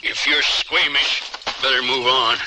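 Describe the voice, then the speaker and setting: An actor playing a gruff, brooding, scarred mountain outlaw, terse and guarded, in an old radio drama. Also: male voice, medium pitch, steady tone, a little slow, lightly acted. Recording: very noisy old radio recording.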